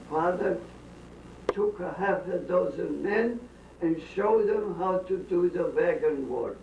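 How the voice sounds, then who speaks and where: Elderly woman, slow halting storytelling, distant mic, dull faintly hissy home recording. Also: lowish voice, echoey room. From Bukovina, then Austria. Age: older. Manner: slow, halting, drifting off topic with strong opinions. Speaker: interviewee. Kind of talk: rambling family storytelling. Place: family home during a dinner gathering.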